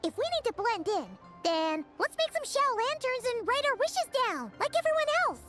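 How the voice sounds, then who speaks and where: A woman voicing a tiny, excitable fairy companion in a video game, with a squeaky childlike voice and bubbly delivery, over music. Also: normal speed. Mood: chirpy. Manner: bubbly, exclamatory, speaks of self in third person.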